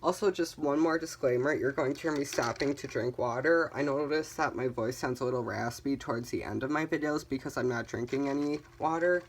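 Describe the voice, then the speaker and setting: Chill tarot reader chatting to viewers, calm and reassuring, lots of ums. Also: mid-range voice, fairly quick, hardly any pauses, slight background noise. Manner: calm, reassuring, gently guiding listeners; chatty, with frequent "um" fillers. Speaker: tarot reader, channel host. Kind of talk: casual tarot card reading for viewers.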